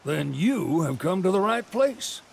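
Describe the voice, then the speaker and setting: An actor playing a folksy old rancher grandfather in a video game, with a gravelly Southern American accent and showy salesman patter. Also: high-pitched, big pitch swings, a little slow, slight background noise, exaggerated voice. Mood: hospitable, chatty. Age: older. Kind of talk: video game cutscene acting.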